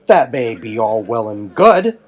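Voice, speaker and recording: A man's voice: mid-range voice, sing-song, unhurried, a little mellow, dull audio. A man voicing a proud, suspicious warrior in a fan audio drama, with a stern, challenging, formal way of talking. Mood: skeptical, challenging.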